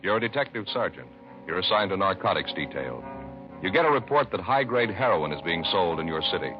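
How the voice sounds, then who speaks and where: Swooping, low-voiced man announcing old radio. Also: sing-song, brisk. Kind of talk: 1950s radio scripted announcing and ad reading. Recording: lo-fi vintage radio, loud music.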